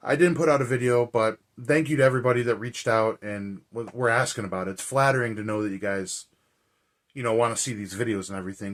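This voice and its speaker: A man with a hoarse, raspy voice, chatting casually and rambling with lots of fillers as a movie vlogger. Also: mid-range voice, a bit bright. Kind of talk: casual chat.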